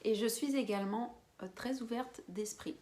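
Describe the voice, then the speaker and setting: A woman in her twenties from French Guiana, chatting casually to camera as a beauty vlogger, with quiet whispered asides. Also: on the high side, moderate pace. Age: 20s. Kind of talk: casual vlog chat to camera.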